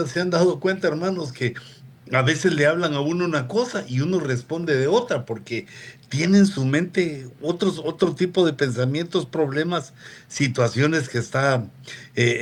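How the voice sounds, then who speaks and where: A man preaching a relaxed, conversational sermon on a radio program, often turning to his listeners with asides. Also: on the high side. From Latin America. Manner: unhurried, digressive, with frequent asides to listeners.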